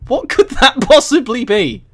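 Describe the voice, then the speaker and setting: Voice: medium pitch, very animated, measured pace. A streamer who reads aloud in an amused, emphatic way with casual asides, prone to breaking into giggles. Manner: giggly, often breaking into laughter while reading.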